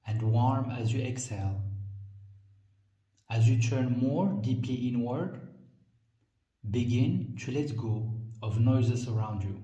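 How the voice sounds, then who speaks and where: A man reading a guided meditation script in a calm, measured way with frequent pauses. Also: mid-range voice. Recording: roomy echo, distant from the mic.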